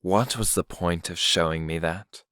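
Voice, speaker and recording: Man acting a cold, slow-spoken villain prince. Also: low-pitched, sharp tone, smooth, dry recording, studio quality. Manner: theatrical, menacing, deliberate. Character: cold, bitter, proud villainous prince of myth. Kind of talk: dramatic fan audio drama acting.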